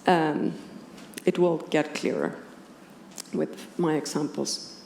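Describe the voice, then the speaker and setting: This is a woman with an Icelandic accent, a native Icelandic speaker, presenting a philosophy talk. Her voice is mellow and fairly low, with a noticeable creak in it. She explains things in a measured, precise, partly scripted academic way. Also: steady tone. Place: indoor conference room with audience. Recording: roomy conference hall, clearly audible background noise.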